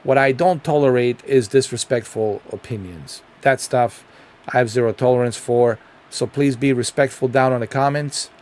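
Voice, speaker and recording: A man's voice: mid-range voice, moderate pace, darkish tone. A middle-aged man from Bosnia with a Bosnian accent, rambling through a casual gaming commentary vlog with many ums and repeats. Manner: rambling, repeats himself, frequent ums and uhs. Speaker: gaming video creator.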